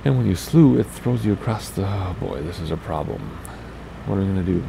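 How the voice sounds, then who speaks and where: A low, gravelly man talks his way through a flight sim run, chatty and thinking aloud as he goes. His voice is raspy, and a steady hiss of noise sits under the recording. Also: fairly quick. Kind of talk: casual gameplay commentary.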